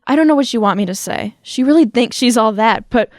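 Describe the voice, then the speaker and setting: A woman's voice: a little high, lively intonation, a little fast, no room sound, clean studio sound, natural voice. A woman playing a proud, defiant mortal weaver in a comedic audio drama, curt, haughty and boastful. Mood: boastful defiance.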